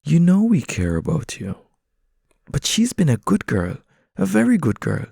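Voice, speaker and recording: A man voicing a pair of paranoid talking pigeons that coax and nag, in a gravelly voice pushed high. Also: wildly swooping pitch, normal speed, dark tone, dry recording, studio quality, exaggerated voice. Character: nagging paranoid pigeons, shrill and coaxing.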